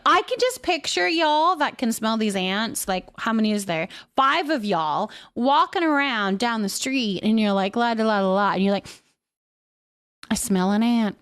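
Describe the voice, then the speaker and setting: Female live streamer, higher-pitched voice, casual and chatty delivery, reading out comments and responding off the cuff. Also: animated, moderate pace, fairly bright tone, smooth. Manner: chatty, reads comments aloud and muses on them. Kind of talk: casual livestream chat.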